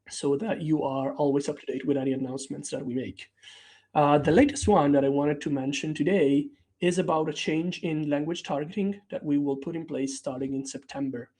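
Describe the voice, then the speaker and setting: A man's voice: on the high side, mellow. A man whose first language is Italian, presenting a technical product update in a conversational way with frequent fillers and restarts. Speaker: developer relations presenter.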